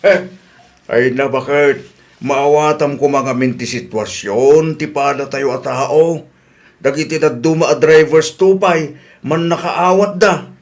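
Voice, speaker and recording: A man with an Ilocano accent playing a reasonable, concerned husband in a radio drama, earnest and gently reproachful. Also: a little high, slow. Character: reasonable, concerned husband who gently scolds his wife.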